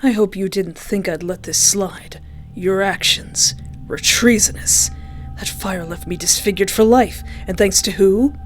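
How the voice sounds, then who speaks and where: A woman voicing a menacing, obsessed, disfigured ex-boyfriend with a hushed, breathy delivery, slow and scolding. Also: mid-range voice, faint music behind, natural voice. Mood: vengeful.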